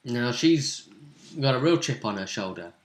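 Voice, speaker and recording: A man's voice: medium pitch, expressive, normal speed. A man talking casually as game master of a tabletop role-playing game, chatty and fond of tag questions. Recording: echoey room.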